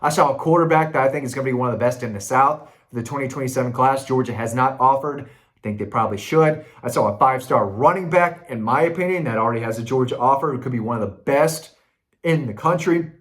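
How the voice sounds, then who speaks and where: A man's voice: mid-range voice, fast talker, a bit bright. A man hosting a sports recruiting update, talking casually and in slangy, opinionated terms to viewers.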